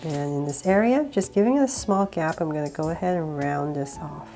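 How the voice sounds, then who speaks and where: A woman with a cold guiding viewers step by step through a drawing, relaxed and laughing a little at her own slips. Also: deep voice, brisk, soft background music. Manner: relaxed, with small laughs and self-corrections. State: sick, voice a bit off. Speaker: drawing tutorial host.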